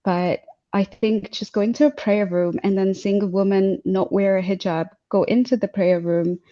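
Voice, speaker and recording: A young woman from Tanzania speaks on a video call with a mellow, darkish tone. She talks hesitantly, full of fillers and restarts. The call audio is dull and lo-fi. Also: mid-range voice, steady tone, moderate pace. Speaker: guest speaker. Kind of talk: casual webinar interview.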